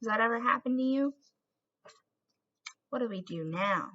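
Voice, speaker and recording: A woman's voice: a little high, expressive, normal speed, bright, forceful tone, dull audio. A woman presenting an instructional craft lesson for children as an art teacher, in an encouraging way with questions to young viewers.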